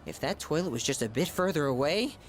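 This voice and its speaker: Gluttonous, pompous high-school boy for a game, played by a male actor; high, bombastic, very animated delivery. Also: a little fast, a little hiss or hum, natural voice. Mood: flustered. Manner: bombastic, dramatic swings between squeals and low asides. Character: gluttonous, pompous, theatrical male high-school student, self-styled gourmet. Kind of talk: video game voice acting.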